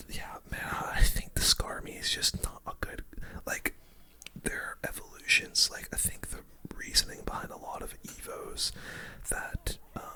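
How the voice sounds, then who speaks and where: A young man whispering breathy, casual, slangy game commentary in an ASMR style, close to the microphone. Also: extremely high pitch, bright, forceful tone. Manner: casual, slangy, reacting to play. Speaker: gaming video host.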